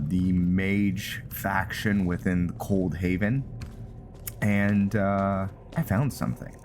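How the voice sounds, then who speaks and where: Male gaming host, deep and unhurried, casual and halting, thinking aloud over noticeable game ambience. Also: a little hiss or hum. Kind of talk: casual game roleplay commentary.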